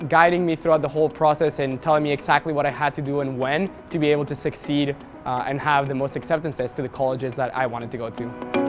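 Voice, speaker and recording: Young man from Mexico City, fairly high voice. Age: young adult. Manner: relaxed, with frequent "uh" fillers. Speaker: university student. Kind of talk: testimonial to camera.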